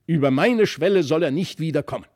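A stern country squire and father, voiced by a male narrator. He speaks emphatically and with scorn, a little high, as in a storybook. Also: animated, fairly quick, a little mellow, no room sound, clean studio sound. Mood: indignant relief. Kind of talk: dramatised fairy-tale audiobook reading.

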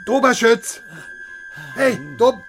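A man's voice: extremely high pitch, fairly even intonation, slow pace, music in the background. An actor playing an agitated newspaperman in a radio crime drama, urgent and impatient. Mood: alarmed shouting. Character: agitated West German newspaperman looking for friend.

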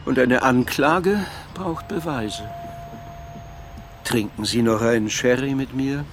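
A man's voice: medium pitch, background noise. Pensive, philosophical man in radio crime drama. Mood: wry, resigned. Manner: pensive, articulate, measured arguing. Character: reflective, philosophical man debating crime with an inspector. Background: faint background music.

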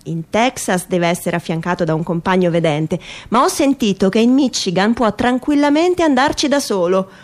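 A woman performing a theatrical reading of a humorous first-person essay in a wry, mock-earnest and expressive manner. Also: mid-range voice, moderate pace, few pauses.

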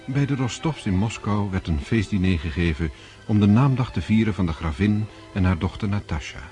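An actor narrating a radio drama as a measured storyteller of an aristocratic saga. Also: male voice, on the low side, animated, over music, lightly acted.

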